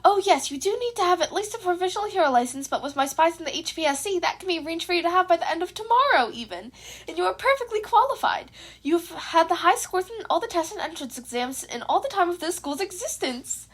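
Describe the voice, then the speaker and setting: A small, scheming chimera principal acted by a woman, chirpy and very high. He reassures like a cheerful mentor in an audiobook tale. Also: animated, fast talker. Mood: cheerful, reassuring. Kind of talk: fan fiction audiobook character voice.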